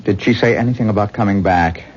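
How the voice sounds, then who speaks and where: An actor playing a self-absorbed, anxious husband who coaxes and evades in a 1970s radio drama. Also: male voice, on the low side, quick pace, slightly rough. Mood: pressing, anxious. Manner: coaxing, evasive, pleading. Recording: muffled, dull old radio broadcast.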